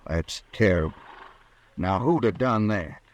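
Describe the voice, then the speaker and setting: A man's voice: lowish voice, animated, measured pace. A man with a rural Western American accent playing a glib, ingratiating cowboy ranch hand in a radio drama. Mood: feigned concern. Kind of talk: old-time radio western acting.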